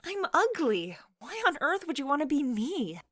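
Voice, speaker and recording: A woman performs a young woman in a visual novel story, playful and excitable, the loyal best friend who teases. Her voice jumps high and expressive, and it is recorded very dry. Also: moderate pace, no room sound. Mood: flustered.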